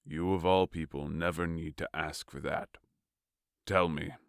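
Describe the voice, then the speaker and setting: A man playing a large, ruthless warrior king in a fantasy audio story, speaking in a measured, commanding, formal way. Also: rumbling low, steady tone, clear, resonant tone, no echo, studio quality. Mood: probing, measured. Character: large, tall warlord king, cold, commanding, ruthless strategist. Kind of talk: audio fiction acting.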